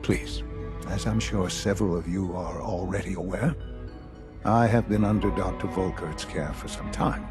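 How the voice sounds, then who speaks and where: An actor playing a grave older institute leader in a video game, speaking formally and deliberately with measured pauses. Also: male voice, sits low, music in the background, close to an everyday voice. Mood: grave, solemn. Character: grave older male leader of a secretive science institute, dying.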